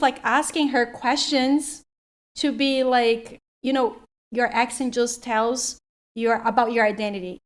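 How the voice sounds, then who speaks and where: Woman co-host, high voice, fast rambling delivery full of fillers. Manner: quick, rambling with many "like"s. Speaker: co-host, life coach. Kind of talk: casual chat.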